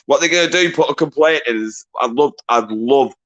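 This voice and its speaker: A man hosting a casual live stream, swearing freely, laughing a lot and bantering with callers in a loud voice. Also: a little fast, highs cut off. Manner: says he has a deep, loud voice. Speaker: livestream host.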